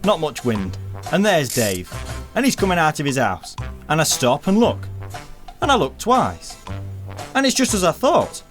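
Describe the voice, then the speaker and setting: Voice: medium pitch, wildly swooping pitch, measured pace, long pauses, soft background music. A performer playing a cheeky working-class English storyteller, chatty and colloquial, acting out neighbours' exchanges in a radio play. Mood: amused, incredulous. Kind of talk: scripted storytelling monologue in a stage-style radio play.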